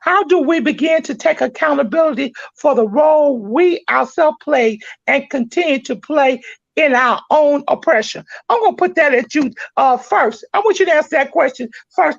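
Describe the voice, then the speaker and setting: A woman hosting a church-minded talk show, speaking with fervent, preacher-like passion that climbs to shouted exhortation. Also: high voice, animated, normal speed, vocal fry, dry recording. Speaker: podcast host. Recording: dry, dull lo-fi sound.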